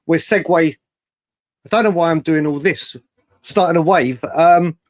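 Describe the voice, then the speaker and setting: English male football show host, fairly high voice, dry sound, matey and digressive live banter. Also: a little slow, no echo. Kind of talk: casual live fan debate.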